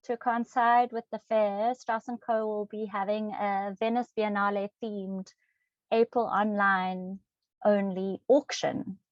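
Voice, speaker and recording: A woman's voice: mid-range voice, no echo. A woman hosting a webinar, reading out speaker bios with frequent ums and self-corrections. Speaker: webinar host. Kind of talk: reading introductions with fillers. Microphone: video call. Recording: dry, dull lo-fi video call audio.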